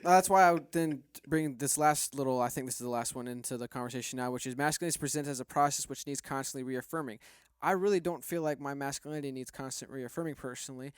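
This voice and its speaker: A man's voice: mid-range voice, fast, smooth, dry recording, clean studio sound. A man debating casually as a podcast host, questioning and arguing his points. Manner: questioning, argumentative.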